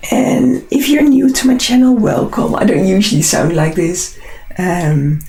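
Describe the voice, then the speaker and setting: Husky, creaky Dutch-accented woman. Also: medium pitch, very animated, measured pace, mellow, echoey room. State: unwell, struggling hoarse voice. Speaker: artist hosting a live drawing session. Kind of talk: casual live tutorial chat.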